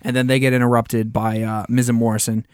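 A man's voice: medium pitch, level tone, fast, darkish tone, clean tone, no room sound, studio quality. A man co-hosting a podcast in casual chat, slightly losing his voice and recapping with many ums and restarts. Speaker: podcast co-host.

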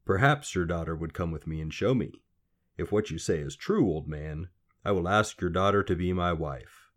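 A man's voice: low voice, normal speed. Man playing a confident, courtly young king. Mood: amused, challenging. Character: grand young king, confident, admiring, commanding.

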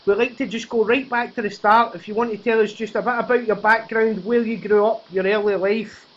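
A podcast host with a very high voice leads the conversation with short, friendly prompts. His recording sounds dull and lo-fi, with the top end rolled off. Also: fairly even intonation, a little fast. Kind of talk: casual interview hosting.

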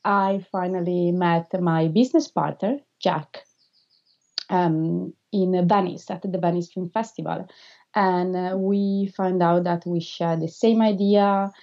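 An Italian woman in her thirties talks through interview answers in English, her second language, stopping often on filler sounds. Her voice is mellow and warm. The recording is a little dull and lo-fi. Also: on the low side. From Italy. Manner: hesitant, frequent filler sounds, non-native phrasing. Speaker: filmmaker being interviewed.

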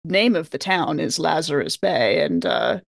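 A woman's voice: deep voice, animated, a little slow, creaky, dry recording, clean studio sound, exaggerated voice. A woman playing a formal scholarly investigator in a tabletop game, speaking in wordy, careful, precise phrases. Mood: measured, wary.

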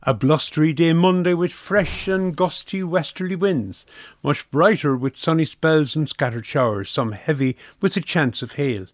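A man's voice: on the high side, moderate pace, dry recording. Irish man reading a dry-sounding radio forecast. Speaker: weather forecast reader. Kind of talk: scripted radio weather forecast.

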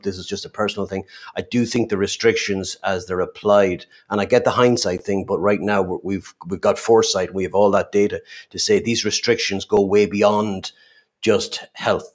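Middle-aged man, podcast host, somewhat low voice, halting and chatty. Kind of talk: casual conversational interview.

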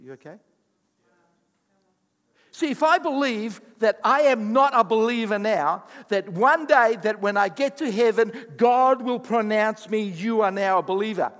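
High-voiced emphatic preacher man in a hall. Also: lively intonation, a little slow, a bit bright. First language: not English. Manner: emphatic preaching with rising bursts and asides. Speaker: pastor. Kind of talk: sermon.

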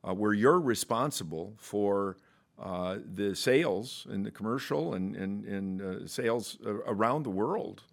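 A man's voice: lowish voice, lively intonation, a little slow, vocal fry. A man hosting a podcast interview, joking with his guest and talking in long rambling questions with many restarts. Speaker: podcast host.

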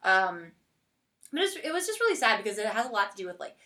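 A woman's voice: mid-range voice, sing-song, fast, bright, forceful tone. A woman hosting a podcast, chatting casually with a guest in very informal speech full of "like". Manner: informal, heavy use of "like". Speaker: podcast host interviewing a guest. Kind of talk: casual chat.

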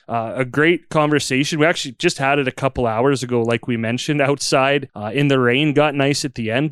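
A man's voice: mid-range voice, clean tone, no echo, studio quality. A man hosting a casual podcast, joking and laughing often while interviewing a guest outdoors under a tent. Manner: jokey, upbeat, laughs often. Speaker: podcast host.

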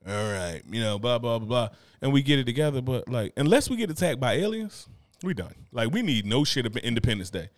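A man's voice: mid-range voice, sing-song, a little fast, dry recording, clean studio sound. Rambling man on a podcast. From Newark, New Jersey. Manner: loose, rambling, acts out quoted voices. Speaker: podcast co-host. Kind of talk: casual podcast chat.

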